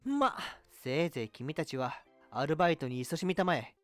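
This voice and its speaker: A man's voice: on the high side, sing-song, moderate pace, soft background music. A man playing a smug, girl-crazy young braggart in an amateur comic radio drama. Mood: smug, boastful. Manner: pompous, theatrical boasting.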